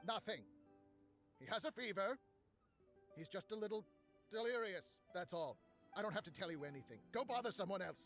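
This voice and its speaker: A man's voice: high-pitched, animated, brisk, pauses often, fairly bright tone, faint music behind. A man voicing an irritable, defensive inventor in a video game, curt and grudging. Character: irritable, defensive male inventor, grudgingly cooperative villain henchman.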